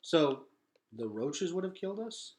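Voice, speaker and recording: A man's voice: on the high side, very animated, unhurried, darkish tone, roomy sound, pitched above the performer's own voice. A man voicing a blunt, wary boy in a children's novel, with a questioning way of talking. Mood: uneasy. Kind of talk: children's novel read-aloud.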